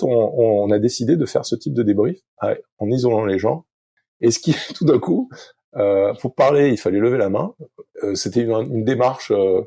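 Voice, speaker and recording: A middle-aged French-speaking man, a sailing coach as podcast guest, talking slowly with many fillers and restarts. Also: fairly low pitch, vocal fry, warm tone, breathy edge, dry recording. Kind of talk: podcast interview, thinking aloud.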